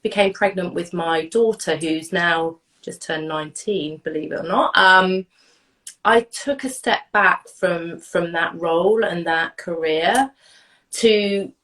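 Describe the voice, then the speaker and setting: A woman's voice: fairly low pitch, slow, a bit bright. A woman in her forties, a bit stuffed up from a cold, chatting as an interview guest in a rambling way over a video call. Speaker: guest, therapist and coach. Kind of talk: casual interview chat.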